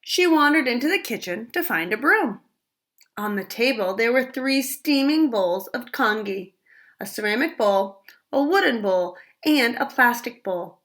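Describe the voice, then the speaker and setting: Slow, animated woman reading aloud. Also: a little high. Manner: slow, expressive, pauses to ask questions. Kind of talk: reading a picture book to children.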